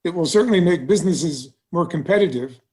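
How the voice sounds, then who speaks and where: A man plays a seasoned small-town bank president with a fairly high voice. He is genial and courteous, speaking in a measured, avuncular way, as in a stage script read aloud. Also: lively intonation, darkish tone, natural voice. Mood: thoughtful, cautious. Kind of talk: musical theatre table read.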